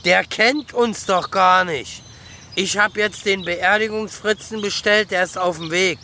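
Radio-drama role: cynical boozy neighbour, tense and high, blunt, grumbling, noisy outdoor background. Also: male voice, a little slow, pushed and bright. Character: tipsy, cynical, gruffly philosophising male neighbour. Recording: clear background noise, outdoor ambience.

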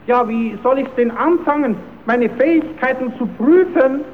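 Very high-voiced puppet clown, cheeky, scheming, exclamatory, thin tinny hissy old radio recording. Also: male voice, measured pace. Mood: earnest, questioning. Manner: exclamatory, self-addressing, stammers when flustered. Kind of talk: old German children's radio play.

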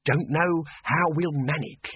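A man's voice: a little high, unhurried, slightly rough, dry recording, voice pushed up. A man doing a jittery wizard bursar. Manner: hushed, jumpy. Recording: narrow phone-like sound, dry.

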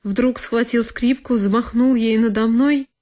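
A woman's voice: on the high side, fairly even intonation, a little slow, mellow. A woman narrating a literary one-woman audio play in an intense, theatrical manner. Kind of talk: dramatic scripted literary narration. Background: music with solo violin.

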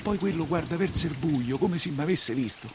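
An actor playing an excitable, humble nineteenth-century coachman from Rome, telling his story in a theatrical dialect monologue with a Roman accent. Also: on the high side, normal speed, noisy background, close to an everyday voice. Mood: breathless, anxious. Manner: earthy, emotional, colloquial storytelling.

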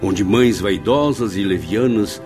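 Actor as grave radio play narrator, low voice, music underneath. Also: male voice, a little slow, lightly acted. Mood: solemn. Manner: solemn, moralizing. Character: grave male spirit narrator recounting tragedy. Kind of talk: scripted dramatic narration.

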